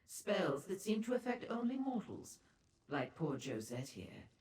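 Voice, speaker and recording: A woman's voice: medium pitch, very animated, a little slow, darkish tone, a little hiss or hum. A woman voicing a seductive, worldly female Daedra merchant in a video game, with a coy, theatrical, condescending manner and ornate formal phrasing. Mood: wry, informative. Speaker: information broker and proprietor. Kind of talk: video game character dialogue. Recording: echoey hall-like reverb on the voice.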